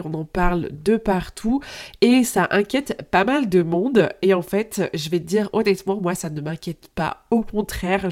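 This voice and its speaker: A woman's voice: medium pitch, a little mellow. A young adult woman hosting a podcast with a head cold and a nasal voice, chatting upbeat and straight to the listener. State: head cold, stuffy nose. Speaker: podcast host. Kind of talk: casual solo podcast talk to the listener.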